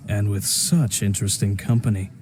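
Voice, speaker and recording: An actor playing a smooth-talking, self-satisfied crime businessman in a video game, urbane and wry with a courteous menace. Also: on the low side, fairly even intonation, normal speed, a little mellow, slight background noise, lightly acted. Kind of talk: naturalistic video game voice acting.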